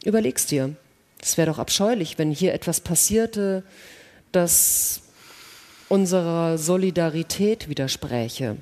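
A woman's voice: on the low side, lively intonation, measured pace, darkish tone. A woman acting a suspicious, accusing, proud female space scientist in a dramatic radio play, speaking with emphatic insistence. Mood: earnest, guarded. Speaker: actor in a radio play reading. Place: large hall, live staged reading. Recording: reverberant stage hall sound.

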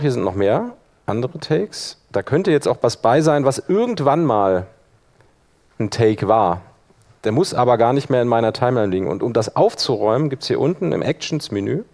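A man teaching a live software workshop, explaining step by step in an informal spoken style. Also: medium pitch. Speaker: workshop instructor. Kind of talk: live software demonstration to an audience.

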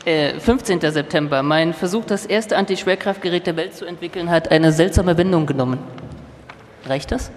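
A man's voice: a little high, normal speed, slight background noise. A man performing live on stage in an audio drama group. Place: large event hall. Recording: echoey hall with long reverb.

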